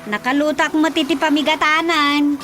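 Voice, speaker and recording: A woman's voice: high-pitched, steady tone, normal speed, music in the background, close to an everyday voice. A woman playing a councilman's homely wife in an Ilocano radio drama comedy, talking in a conversational, questioning way.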